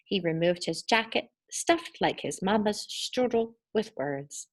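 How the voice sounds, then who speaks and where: A woman reading a children's story expressively, with deliberate, dramatic emphasis on her words. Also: mid-range voice, expressive.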